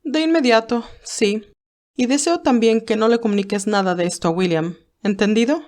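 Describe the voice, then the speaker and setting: Woman voicing an imperious old matriarch, pitched high. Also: moderate pace, darkish tone, natural voice. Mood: stern, commanding. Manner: curt, commanding, formal. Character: severe elderly matriarch, imperious and secretive. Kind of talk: audiobook character dialogue.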